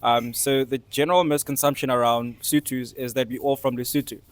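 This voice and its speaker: A man with a South African accent explaining things casually as a panellist, in hesitant, halting phrases. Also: mid-range voice, steady tone, no echo. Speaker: discussion panellist.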